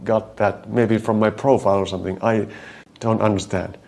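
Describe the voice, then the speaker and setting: Finnish guy coding out loud, lowish voice with some fry, drags out the code bits as he types. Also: level tone. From Finland. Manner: thinks aloud while typing, slows to spell out code. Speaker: coding tutorial host. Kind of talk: live coding walkthrough.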